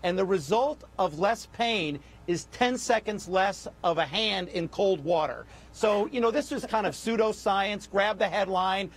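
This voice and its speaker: High-pitched American man giving analytical commentary. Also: unhurried, few pauses. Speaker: economist panel guest.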